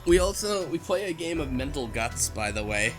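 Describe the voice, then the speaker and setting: A man's voice: a little high, sing-song, normal speed, faint music behind. A man co-hosting a podcast in casual chat, rambling and unsure as he goes. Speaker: podcast co-host.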